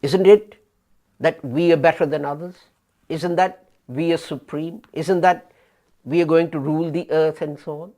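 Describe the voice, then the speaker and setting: A man in his fifties giving a sermon as an imam, speaking deliberately with pauses and rising emphasis, recorded in a small studio. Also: a little high, fairly even intonation, mellow. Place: small studio before a printed backdrop. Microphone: fixed camera, head and shoulders framing.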